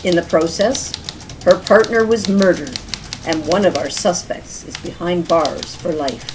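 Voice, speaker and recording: A woman announcing and narrating a radio-style mystery drama in a measured, dramatic storytelling manner. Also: lowish voice, background noise. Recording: music clearly behind the voice.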